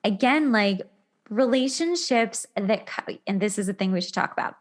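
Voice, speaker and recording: A woman's voice: mid-range voice, lively intonation, moderate pace. A woman hosting a casual relationship advice talk, emphatic and repetitive, acting out imagined reactions as she tells anecdotes. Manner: emphatic, repeats words, acts out imagined reactions. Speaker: podcast host.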